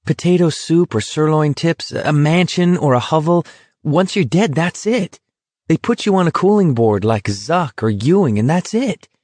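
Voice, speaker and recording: A man's voice: mid-range voice, a little slow, few pauses, mellow, no echo, lightly acted. A man voicing an earnest, exasperated young man arguing insistently in an audiobook. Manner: insistent, emphatic, pleading. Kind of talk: audiobook character dialogue.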